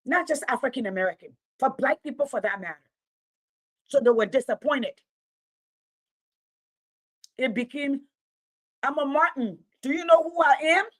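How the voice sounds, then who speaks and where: A woman with a Liberian accent speaks in a somewhat high-pitched voice. Her English is a second language after Mano and Gio. She talks off the cuff like a storyteller hosting an online show, bluntly and with heavy emphasis, repeating phrases to drive them home. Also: normal speed, long pauses. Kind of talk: unscripted political commentary to livestream viewers.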